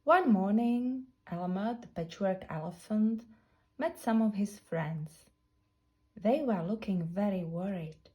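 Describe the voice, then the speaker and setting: A woman narrating a children's picture book in a deliberate, expressive storytelling manner. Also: medium pitch, lo-fi sound. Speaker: storybook reader.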